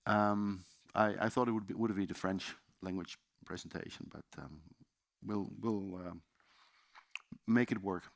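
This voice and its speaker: A man's voice: fairly low pitch, steady tone, unhurried, gravelly, no room sound. Dutch-accented man giving a seminar talk. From Netherlands. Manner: loose and chatty, many fillers and restarts. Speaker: conference speaker. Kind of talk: seminar presentation. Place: conference room.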